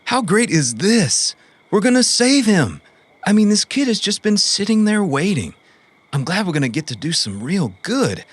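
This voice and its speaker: A spaced-out eager naive man, husky, highish, really lively pitch, audio drama. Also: moderate pace, no room sound, heavily acted. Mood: eager, excited. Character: dazed, eager, guileless man under another's influence.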